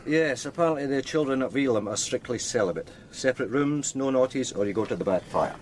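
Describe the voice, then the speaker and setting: An actor playing a measured, deferential male detective explaining evidence to his superior in a radio drama. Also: mid-range voice, darkish tone, slight background noise, lightly acted. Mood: measured, matter-of-fact. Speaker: police officer.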